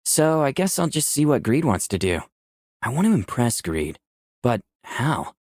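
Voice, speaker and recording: Easygoing young hero, very animated. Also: male voice, mid-range voice, a little slow, no room sound. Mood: reflective. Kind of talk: scripted first-person narration and dialogue. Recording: dry, faint music behind.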